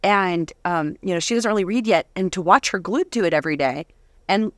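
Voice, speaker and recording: A woman's voice: lowish voice, a little fast, no echo. A woman author and speaker talking casually in a conversational interview, chatty and giggly, in a dry close-sounding recording.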